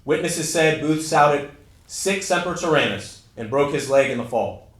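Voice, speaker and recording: In a roomy, echoing space, a somewhat high male voice of a performer reads a monologue as a formal congressman. He tells his family history in a narrative, first-person stage style. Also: animated, measured pace. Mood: grave. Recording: echoey room, live stage reading.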